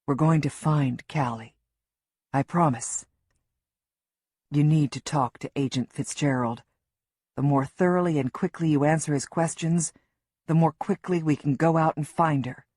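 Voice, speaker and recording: A woman voicing Lewis, a soft-spoken but firm man who reassures a distraught mother. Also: a little high, steady tone, a little slow, pauses often, breathy edge, dry recording, studio quality. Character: steady male agent, worried family friend.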